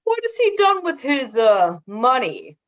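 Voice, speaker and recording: A woman's voice: high voice, huge pitch swings, unhurried, heavily acted. A woman playing a callous, inquisitive business person in a radio play, with wry delivery and slightly muffled audio. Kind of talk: theatrical radio play acting.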